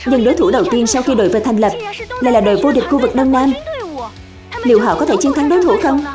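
A woman narrator reading a scripted recap for an animated series. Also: a little high, quick pace, over music, lightly acted.

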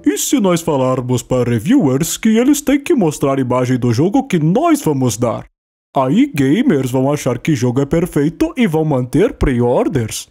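Brazilian male fan dubber, frantic comic shouting, slightly high pitch. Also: very animated, moderate pace. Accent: Brazilian Portuguese. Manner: shouty, panicked comic delivery. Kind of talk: fan dub of a comedy cartoon.

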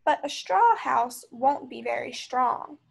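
An obliging storybook tradesman, read by a woman, offers friendly, cautionary advice. The delivery is matter-of-fact and pitched high. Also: expressive, slow pace, vocal fry, breathy edge, exaggerated voice. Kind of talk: children's storybook character voice.

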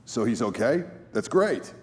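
A man's voice: mid-range voice, big pitch swings, measured pace, husky. A man playing a cocky young gangster with a hot temper in a video game cutscene, casual and streetwise.

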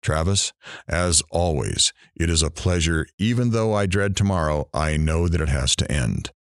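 An actor playing a heartfelt, wistful radio talk show host in an audio drama. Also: male voice, sits low, moderate pace, dry recording, clean studio sound.

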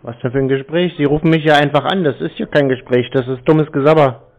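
A man's voice: on the high side, steady tone, fast. A man in a phone conversation, answering curtly, stonewalling and repeating himself. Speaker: call recipient baiting phone scammers. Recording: tinny phone-like call audio.

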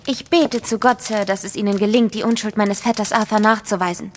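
An actress playing a polite, composed young gentlewoman with a formal, earnest manner, in a German audio drama. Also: medium pitch, steady tone, brisk, in a large hall, background noise, natural voice. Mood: anxious, earnest.

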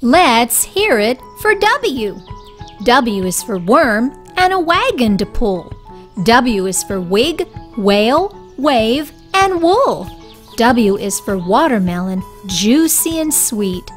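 A woman reading a picture book aloud for young children in a deliberate, expressive storytelling style. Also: fairly high pitch, faint music behind.

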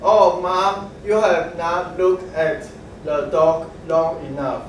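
A young man playing an eager little frog child, reading his lines slowly in a readers' theatre. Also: fairly high pitch, fairly even intonation, noisy background. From Taiwan. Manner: slow, deliberate reading from a script. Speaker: student reader. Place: large classroom, standing in a row. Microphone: far camera. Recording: very echoey classroom from far off.

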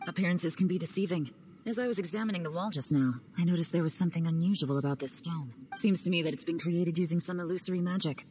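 Woman voicing a cool, lower-voiced spy. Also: fast talker, a little mellow, faint music behind, close to an everyday voice. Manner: cool, clipped, understated. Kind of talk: video game cutscene voice acting.

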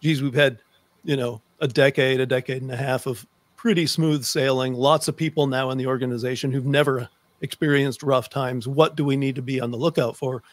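A man's voice: mid-range voice, steady tone, a little fast, no echo. A middle-aged man with an American accent, hosting a conversational interview into a close desk microphone. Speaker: host. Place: book-lined room indoors, on a video call.

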